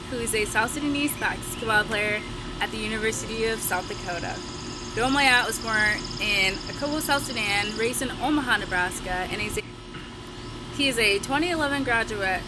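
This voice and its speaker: A woman with a mid-range voice hosts an interview for an online channel. She is informal and encouraging with her guest. A clear hum of background noise runs under her speech. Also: mid-range voice. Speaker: interviewer and show host.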